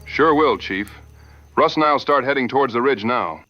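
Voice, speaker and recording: A man's voice: mid-range voice, lively intonation, moderate pace, highs cut off, natural voice. A man playing a resolute, plain-spoken frontier hunter and tracker in an audio drama, with a folksy, determined manner. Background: music and night crickets.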